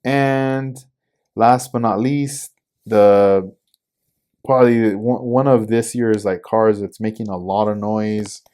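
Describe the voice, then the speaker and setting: Laid-back man in his forties, low voice. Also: fairly even intonation, slow pace, dark tone. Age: 40s. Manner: laid-back, loose, lots of fillers like "like" and "um". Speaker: podcast host and hobby shop owner. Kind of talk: casual product rundown.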